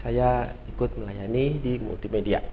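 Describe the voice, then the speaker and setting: A man in his thirties, a church multimedia volunteer, answers hesitantly and pauses to find his words. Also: medium pitch. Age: 30s. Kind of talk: testimony interview answers. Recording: tinny lo-fi sound with clear hum.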